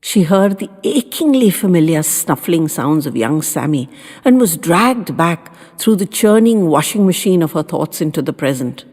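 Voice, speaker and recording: A woman's voice: on the low side, lively intonation, moderate pace, a little mellow. A woman reading a story as scripted audiobook narration, in a tender, dramatic storytelling delivery. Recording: long reverb with background music. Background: music.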